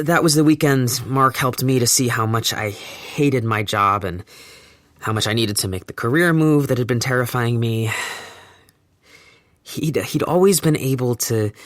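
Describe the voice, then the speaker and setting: A wry, reflective man , voiced by an actor, talks through the end of a relationship in a hesitant, thoughtful way. His voice sits at an ordinary middle pitch. The sound is dry and close, with no room around it. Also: a bit bright, no echo, natural voice. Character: wry man newly split from his partner. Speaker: narrator of an audio drama. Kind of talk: first-person audio drama monologue.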